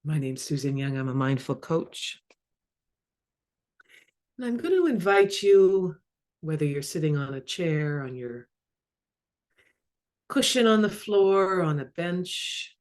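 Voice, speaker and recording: A woman leading a guided meditation as a mindfulness coach, speaking calmly and measuredly in short phrases with long pauses. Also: low-pitched. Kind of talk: guided body scan meditation.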